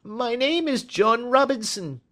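Man performing a small cringing man, high pitch, swooping intonation, whiny, quavery, pleading, storybook character. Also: expressive, measured pace, fairly bright tone, exaggerated voice. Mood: uneasy. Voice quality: whining, quavering. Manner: nervous, pleading. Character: cringing little rat-faced man, whining and frightened.

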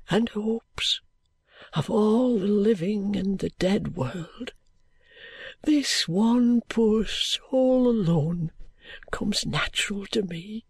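A woman's voice: medium pitch, a little mellow, husky, no room sound, exaggerated voice. Woman speaking as a solemn, worn-out dying man. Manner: solemn, halting, measured phrases. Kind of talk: audiobook character acting.

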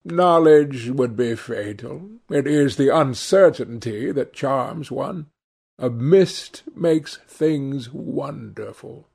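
Male reader as languid aristocrat, cynical wit, very slow drawl, provocative, audiobook character. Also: mid-range voice, creaky, darkish tone, slightly rough, heavily acted. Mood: languid, teasing.

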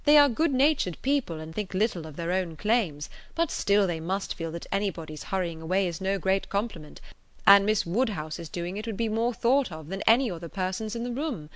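A woman voicing a hearty, eager middle-aged gentleman who speaks emphatically and persuasively, in an audiobook. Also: medium pitch, animated, normal speed, no room sound, close to an everyday voice.

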